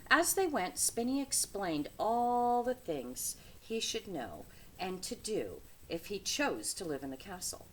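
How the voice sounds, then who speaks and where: Very animated woman reading a children's story. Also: medium pitch, low-quality recording. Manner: dramatic, deliberate phrasing. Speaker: read-aloud storyteller. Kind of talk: expressive children's picture book read-aloud with asides to young listeners. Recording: steady background noise.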